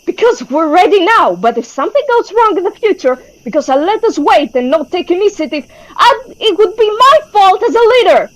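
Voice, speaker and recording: A woman's voice: very high voice, very animated, a little fast, hardly any pauses, low-quality recording. A woman playing an anxious, driven young female leader in a webtoon fan dub, speaking with earnest, emphatic intensity. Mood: desperate, upset.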